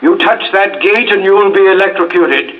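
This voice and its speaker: An actor playing a suspicious, commanding gate guard barking questions over a loudspeaker in an old radio drama. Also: male voice, high-pitched, moderate pace. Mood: stern, demanding. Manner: barking, interrogating, imperious. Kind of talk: 1950s radio drama acting. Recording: tinny, phone-like old radio recording.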